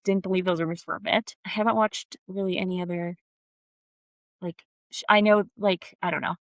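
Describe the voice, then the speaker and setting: A woman's voice: lowish voice, fairly even intonation, brisk, no room sound. A young woman from Texas, co-hosting a podcast in casual chat, bubbly and full of "like" fillers. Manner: chatty, bubbly, lots of "like" fillers. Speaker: podcast co-host.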